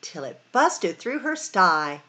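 Female storytime host, middle-aged. Unhurried, very lively intonation, dramatic sing-song delivery for young listeners. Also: lowish voice. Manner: sing-song, dramatic emphasis and drawn-out words. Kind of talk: expressive picture-book read-aloud for children.

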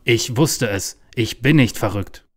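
Guy acting a paranoid wreck in a parody radio play, middle-pitched voice. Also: unhurried, close to an everyday voice. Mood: agitated. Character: paranoid, overwrought man fearing a world conspiracy. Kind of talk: parody audio drama acting.

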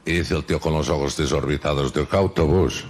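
Man, dry joke-telling, very deep voice. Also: fast talker, a little mellow, a little hiss or hum. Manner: dry storytelling, peppered with repeated "digo". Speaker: stand-up comedian.

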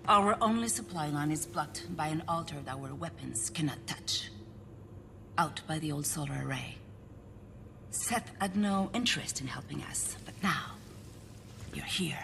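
A grim middle-aged female commander in a game cutscene, with a low voice. She is stern and embittered, often sinking to an intense hush, over clearly audible background noise. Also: animated, unhurried, heavily acted. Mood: resolute. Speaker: military commander. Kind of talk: cinematic video game acting.

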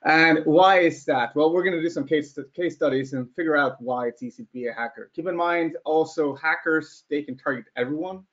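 Norwegian guy in his 30s, kinda american sounding accent, chatty webinar talk, voice a bit high, muffled lo-fi audio. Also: a little fast, hardly any pauses, a bit bright. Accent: slightly American-sounding. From Norway. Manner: chatty, laughs at own points, rhetorical questions. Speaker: webcast presenter. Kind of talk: casual live webinar presentation.

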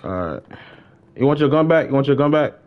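A man's voice: mid-range voice, expressive, brisk. Young American man, dull lo-fi stream audio. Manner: slangy, repeats short phrases. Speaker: live streamer playing an online roleplay shooter game. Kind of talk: casual live gameplay chat.

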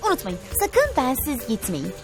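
A woman's voice: high voice, huge pitch swings, normal speed, exaggerated voice. A woman voicing a clever, cautious cartoon cave girl who likes to say I told you so, in a dub. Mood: bossy. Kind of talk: cartoon dubbing. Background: background music.

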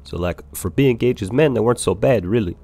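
A man's voice: fairly low pitch, a little fast, vocal fry. A man voicing a breezy, boastful traveling bar owner in an improvised video game roleplay, rambling and swearing freely. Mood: welcoming. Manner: rambling, profane. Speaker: roleplay player and narrator. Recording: noisy game audio, ambient background sound. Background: game world ambience.

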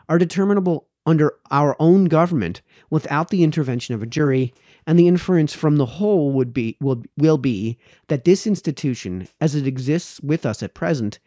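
Mellow man reading aloud, lively intonation. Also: mid-range voice, no room sound, studio quality. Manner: careful, measured, stumbles over words and rereads them. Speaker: reader, host of a read-aloud series. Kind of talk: reading an old essay aloud. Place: small indoor home study. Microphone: close microphone on a boom arm.

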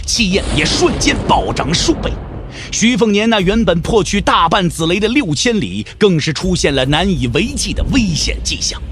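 A man's voice: high-pitched, a bit bright, slight background noise. A man giving dramatic scripted narration for an audio drama, theatrical and emphatic.

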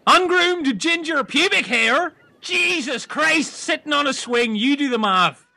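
A man's voice: very high voice, lively intonation, unhurried, vocal fry, pushed and bright, no room sound, exaggerated voice. A man playing a bossy, smug know-it-all castaway in an improvised comedy audio drama.

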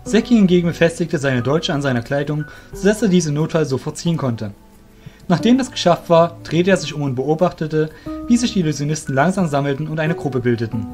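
A man's voice: fairly high pitch, animated, brisk, darkish tone. A man narrating a scripted fantasy story as the narrator of an audio drama. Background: music.

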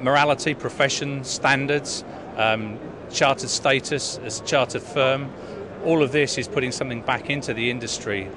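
A middle-aged man, an insurance broker, answering a vox pop hesitantly with many pauses at a busy exhibition hall. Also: medium pitch, fairly even intonation. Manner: hesitant, many ums and restarts. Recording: crowd chatter behind.

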